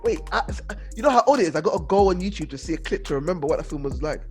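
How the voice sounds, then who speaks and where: A man's voice: a little high, animated, racing, faint music behind. A British man co-hosting a podcast, giving emphatic, opinionated takes in casual group chat. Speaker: podcast co-host. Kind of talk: casual group debate about films.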